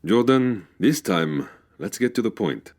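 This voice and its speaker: An actor playing a stern, formal Cairo police captain in a radio comedy drama, precise, officious and exasperated. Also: male voice, fairly low pitch, sing-song, measured pace, noisy background.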